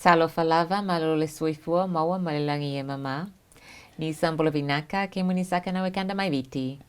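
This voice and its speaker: A woman's voice: low-pitched, brisk, clear, resonant tone. A woman with a New Zealand accent hosting a studio chat show, telling her family story in a reflective way with many fillers. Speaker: host. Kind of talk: casual interview chat.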